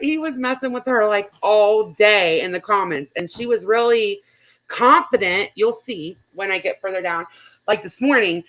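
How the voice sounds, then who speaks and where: A woman's voice: mid-range voice, fairly bright tone. A woman hosting a casual, gossipy chat and reading comments aloud in an informal, giggly manner. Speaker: host.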